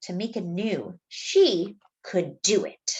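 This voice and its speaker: A woman reading a children's story aloud expressively, phrasing deliberately as if to young listeners. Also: mid-range voice, lively intonation, darkish tone, lo-fi sound. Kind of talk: expressive picture-book read-aloud with asides to young listeners.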